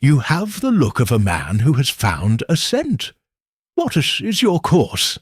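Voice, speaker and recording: A man's voice: medium pitch, wildly swooping pitch, measured pace, husky, no echo, clean studio sound, voice pushed up, exaggerated voice. A man voicing an earnest detective's sidekick in an audiobook mystery, puzzled and eagerly questioning. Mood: curious.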